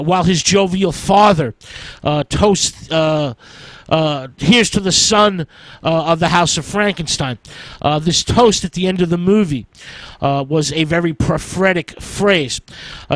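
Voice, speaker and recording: A man giving an informal film history talk as a radio presenter, in a halting, hesitant way with an "uh" before nearly every phrase. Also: fairly high pitch, fairly bright tone, no room sound, poor audio.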